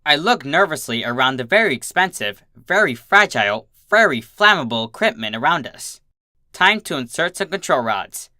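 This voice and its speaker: Story narrator, medium pitch, lively intonation, bright tone, very dry close sound, expressive first-person reading. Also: normal speed, no room sound.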